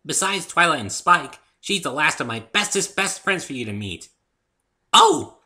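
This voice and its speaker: Man putting on a bubbly, high-pitched girl pony. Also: sing-song, measured pace, pushed and bright, full character voice. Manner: exuberant, teasing, gushing. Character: bubbly, excitable, scatterbrained young female cartoon pony. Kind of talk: dramatic story reading.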